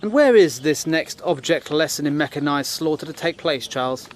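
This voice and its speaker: Man playing a higher-voiced, sceptical British war correspondent. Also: lively intonation, normal speed, natural voice. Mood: grave. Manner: earnest, articulate, dryly challenging. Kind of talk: period audio drama acting.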